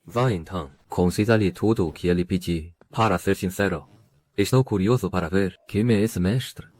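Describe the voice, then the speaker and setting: Man voicing a deep, calm, shrewd sect leader. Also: close to an everyday voice. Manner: measured, authoritative, pensive. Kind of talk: dubbed motion-comic acting.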